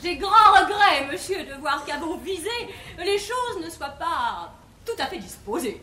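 An actress playing a prim, spiteful, mockingly sweet daughter, speaking mannered sarcastic verse in a classical French stage comedy. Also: female voice, extremely high pitch, very animated, measured pace, pushed and bright, some chatter behind, heavily acted. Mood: mock regret. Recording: echoey, noisy vintage 1950s theatre recording.

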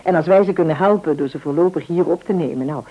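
A warm-hearted middle-aged wife and mother in a radio play. Her voice is fairly low and husky, with a homely, expressive, practical way of talking. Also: fast talker, narrow, tinny audio. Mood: caring.

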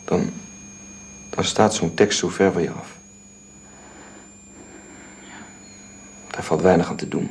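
A man acting in a radio drama as a sorrowful, gentlemanly husband, speaking haltingly and reflectively. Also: low-pitched, vocal fry, slight background noise. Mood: grieving, bitter. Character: sorrowful husband of a dying woman, gentlemanly and brooding.

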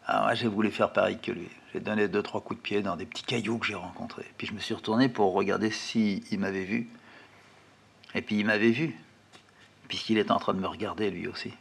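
Guy narrating an old memory, gravelly and kinda husky, low voice, calm and plain. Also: brisk. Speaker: storyteller. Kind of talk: first-person memoir narration.

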